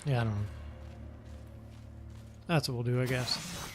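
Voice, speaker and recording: A man's voice: mid-range voice, unhurried. A man hosting a let's play, chatting casually as he plays and thinking aloud with many hesitations. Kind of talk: casual gameplay commentary. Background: game music.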